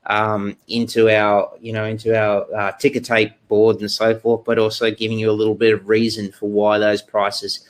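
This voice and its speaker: A man's voice: on the low side, completely flat delivery. A man in his thirties who grew up abroad and identifies as Australian, presenting in a video-call webinar with lots of fillers and restarts. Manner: halting, many fillers and restarts. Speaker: exchange research presenter. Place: indoor room, video call. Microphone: webcam video call.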